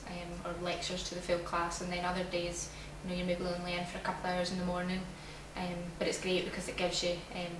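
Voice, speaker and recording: Flat-voiced young Scottish woman. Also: fairly low pitch, far from the mic. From Glasgow, Scotland. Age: young adult. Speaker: student interviewee. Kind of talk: casual interview answers. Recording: echoey room, from afar, noisy.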